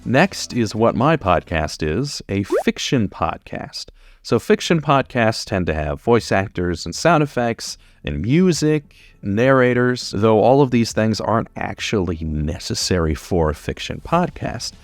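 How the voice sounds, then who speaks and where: A man's voice: on the low side, sing-song, a little slow, dry recording. A man in his twenties giving a casual, unscripted explainer in an informal, conversational way, as a podcast producer guiding beginners. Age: 20s.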